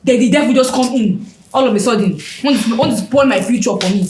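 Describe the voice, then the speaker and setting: A woman's voice: a little high, fast, background noise, lightly acted. A woman playing a scornful, bossy young woman in a web drama. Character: haughty young woman bossing others. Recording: roomy sound with music behind.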